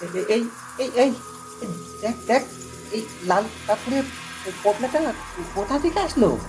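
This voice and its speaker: A woman voicing a bold, scornful and curious young villager in an animated horror story. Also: fairly high pitch, unhurried. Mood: startled. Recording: music behind the voice.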